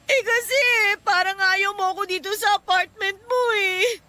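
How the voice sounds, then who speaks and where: A woman's voice: very high voice, normal speed, sharp tone. An actress with a Filipino accent playing a distressed young girlfriend in a radio drama, pleading and plaintive. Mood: tearful, upset. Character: distressed young girlfriend who ran away from home.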